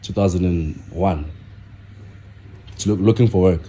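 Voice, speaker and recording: A young man from South Africa, a guest on a podcast, talking casually with halting starts and repeated words. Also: low voice, dark tone, a little hiss or hum. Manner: halting, repeats himself, many fillers. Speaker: guest, content creator.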